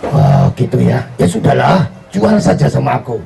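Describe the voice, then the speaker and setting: A man playing a sly mustached tempter in a live children's story, with a gravelly, wheedling, theatrical delivery. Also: mid-range voice, wildly swooping pitch, moderate pace, over a noisy crowd, heavily acted.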